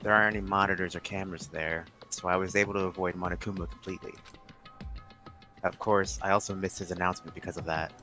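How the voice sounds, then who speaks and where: A man playing a composed, low-voiced girl detective. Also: steady tone, pushed and bright. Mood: composed, guarded. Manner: measured, matter-of-fact. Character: cool, composed, secretive teenage girl detective. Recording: music behind the voice.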